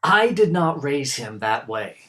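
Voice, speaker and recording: Man acting proud middle-aged father, stern yet regretful, defensive, halting delivery, mid-pitched, drama dialogue. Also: very animated, a bit bright.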